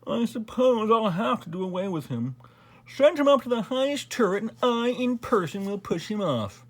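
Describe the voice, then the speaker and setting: A storybook narrator voicing a spoiled, spiteful, impatient young Grand Duke in a whiny, drawn-out snap. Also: medium pitch, big pitch swings, pitched above the performer's own voice, low-quality recording. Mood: bored, scheming.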